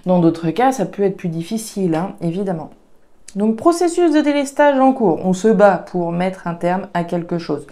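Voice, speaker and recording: A woman's voice: mid-range voice, sing-song, moderate pace, warm tone. A woman with a regional French accent doing a casual card reading for viewers, thinking aloud with frequent fillers and restarts. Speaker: tarot and oracle card reader.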